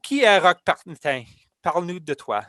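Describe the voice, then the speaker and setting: A male TV host with a slightly higher-than-usual voice, leading a broadcast interview in a polished, friendly way. His voice sounds close and dry, with no room echo. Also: big pitch swings, moderate pace, pushed and bright. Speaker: TV program host.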